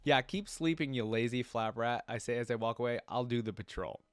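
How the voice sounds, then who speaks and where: A man's voice: medium pitch, a little fast, clean tone, no echo, soft background music, lightly acted. A man reading a first-person story as a cantankerous, boastful small warrior creature with a folksy, grumbling manner.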